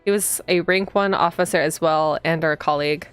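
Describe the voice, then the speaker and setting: A woman's voice: deep voice, steady tone, moderate pace, clean tone, soft background music, close to an everyday voice. A woman reading the part of a proud, dutiful young policewoman in a visual novel, earnest and easily indignant. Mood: composed.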